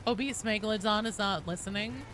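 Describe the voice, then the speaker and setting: A woman's voice: mid-range voice, brisk, fairly bright tone. A woman hosting a casual game live stream, chatting playfully with viewers and breaking into sudden exclamations, with teasing, jokey banter. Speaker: video game streamer and host.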